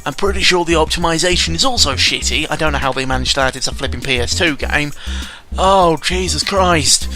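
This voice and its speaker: A man's voice: a little high, a little fast, pushed and bright, soft background music. A man giving casual, rambling video game commentary in an opinionated, sweary and exasperated manner. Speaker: gaming vlogger.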